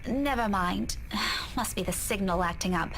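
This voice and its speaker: A woman's voice: mid-range voice, a little slow, a bit bright, natural voice, rough recording. An actress playing a hesitant, anxious young woman in a horror visual novel. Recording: game audio with clear background noise.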